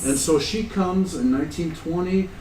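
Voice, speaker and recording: A man in his sixties from the United States speaks at a conference in a relaxed, self-deprecating way, often sounding amused. The mic sits some distance from him, so his voice comes across roomy and slightly remote, with faint background noise. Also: a little high, normal speed. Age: 60s. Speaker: conference presenter. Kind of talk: academic conference talk, anecdotal. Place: lecture room at a conference. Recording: echoey room, distant mic.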